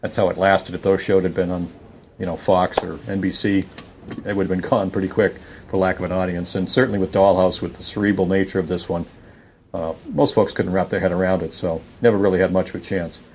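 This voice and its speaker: A man's voice: sits low, little pitch movement, a little fast, darkish tone. A man giving casual, opinionated review commentary as a podcast listener sending in feedback. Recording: dull lo-fi audio with faint noise.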